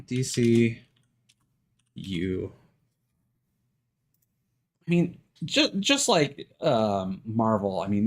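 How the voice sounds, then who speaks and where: A man chatting casually as a live streamer, rambling and thinking aloud with hesitant self-corrections. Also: fairly low pitch.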